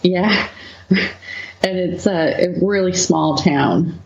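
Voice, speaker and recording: Slow-talking Canadian woman, roomy sound. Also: on the low side, gravelly, lo-fi sound, a little hiss or hum. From Manitoba, Canada. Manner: slow, laughing often, many fillers. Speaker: guest, webcomic co-founder. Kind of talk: relaxed interview conversation.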